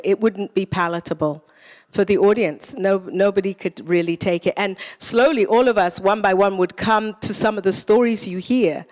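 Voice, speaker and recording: A woman speaks at a theater talkback, earnest and reaching for the right words. Her mid-pitched voice rings with a long hall reverb. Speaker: cast member in a post-show talkback. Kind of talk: post-show panel talk. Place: theater stage.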